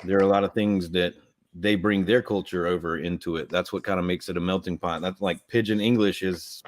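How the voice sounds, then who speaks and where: A man's voice: low voice, steady tone, fairly quick. A man, chatting casually and rambling on a livestream about a comic he co-created. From Southern US. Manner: rambling, heavy use of "like".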